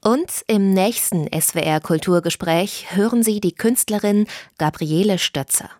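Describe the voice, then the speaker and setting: A woman reading a scripted radio announcement in a smooth presenting manner. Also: medium pitch, measured pace, a little mellow, no echo, studio quality.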